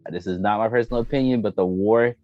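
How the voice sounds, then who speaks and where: A man chatting casually as a gaming show host, slangy and opinionated, given to excitable interjections. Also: fairly low pitch, fast talker. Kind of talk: casual opinionated chat about video game movies. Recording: dull, echoey room, faint music behind.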